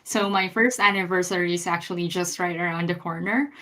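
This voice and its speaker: A woman from the Philippines, a front-end software engineer, giving an upbeat, chatty self-introduction at an online panel. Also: fairly low pitch, steady tone, brisk.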